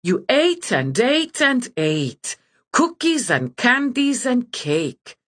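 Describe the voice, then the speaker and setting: A woman voicing a sinister child-snatching witch who talks in a slow, sing-song, menacing way. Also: fairly high pitch, very animated, pitched above the performer's own voice, exaggerated voice. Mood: gloating.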